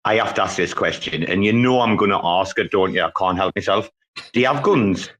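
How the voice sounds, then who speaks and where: Enthusiastic British man, low-pitched, chatting. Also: a little fast, a bit bright, low-quality recording. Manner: enthusiastic, chatty, informal slang. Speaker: show host. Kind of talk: casual chat.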